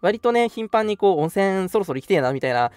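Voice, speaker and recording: A man's voice: high voice, big pitch swings, fast talker, a little mellow, clear, resonant tone. A young man hosting a radio show in a casual, agreeable chat. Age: young adult. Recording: music playing behind the voice. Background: background music.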